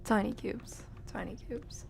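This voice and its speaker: A young woman chats casually as she streams a game, with a mellow, unhurried voice. She rambles playfully to herself and often softens into breathy murmurs, with faint noise behind her. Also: fairly high pitch, fairly even intonation. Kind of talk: casual live stream chat while playing. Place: her room.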